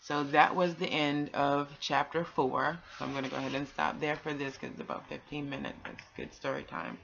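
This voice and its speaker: A woman's voice: deep voice, level tone, moderate pace, gravelly, a bit bright. A woman reading and hosting a children's bedtime story, with a nurturing, encouraging way of addressing young listeners. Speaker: bedtime story reader and host. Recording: dull, lo-fi home recording.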